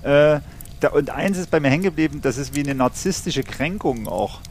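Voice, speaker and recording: A man's voice: a little high, lively intonation, brisk, darkish tone, slight background noise. A man in his thirties from Saxon Switzerland, chatting casually on a podcast. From Saxon Switzerland, eastern Germany. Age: 30s. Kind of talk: casual chat.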